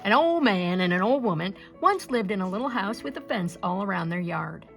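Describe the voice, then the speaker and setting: A woman storyteller reading a picture-book folktale aloud in an expressive way. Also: medium pitch, a little fast, faint music behind.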